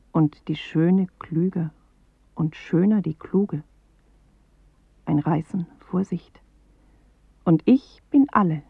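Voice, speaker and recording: A woman performing a pensive narrator in a poetic radio drama, speaking in measured, deliberate fragments on a muffled 1980s recording. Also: on the low side, gravelly, husky, dry recording, heavily acted. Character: pensive female narrator of a dreamlike inner journey.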